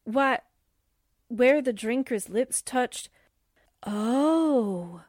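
A woman performs a naive, perky newspaperwoman sidekick from a vintage radio play. She speaks slowly in a fairly high, swooping voice. Her exclamations are folksy and wide-eyed. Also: lively intonation, darkish tone, no room sound, clean studio sound, exaggerated voice. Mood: puzzled, wondering. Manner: exclaiming, guileless. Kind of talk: 1940s radio drama acting.

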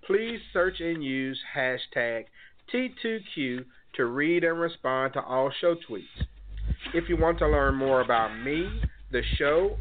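A man hosting a call-in radio talk show, speaking in a measured, earnest way with some fillers and sounding a little stuffed up from a cold. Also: a little high, a little hiss or hum. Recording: tinny phone-line sound.

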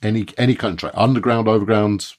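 A man's voice: fairly low pitch, steady tone, fast. A man hosting a podcast, putting questions to a guest in a conversational interview style.

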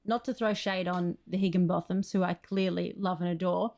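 A woman's voice: fairly low pitch, steady tone, normal speed, darkish tone. A woman chatting casually as an interview guest, with a wry, conversational manner. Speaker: guest, screenwriter.

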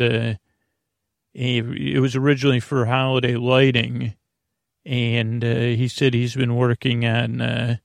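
A man with a creaky, gravelly voice hosting a bedtime podcast in a soothing, halting, rambling monologue that drifts into tangents. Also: medium pitch, no room sound, clean studio sound. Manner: meandering, halting, stop-and-start, trailing off into tangents; soothing, lulling. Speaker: podcast host.

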